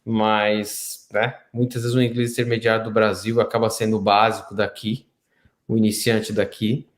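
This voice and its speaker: A man's voice: on the low side, level tone, normal speed. A middle-aged man with a Brazilian Portuguese accent, hosting an interview in a casual, informal way and explaining his points conversationally. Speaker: interview host. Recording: roomy, echoey sound.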